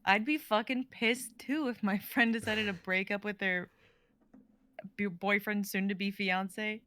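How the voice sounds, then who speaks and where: A woman's voice: medium pitch, steady tone, a little slow, sharp tone. A woman in her twenties chatting casually on a play-reading stream, slangy and sweary. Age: 20s. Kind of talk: casual chat.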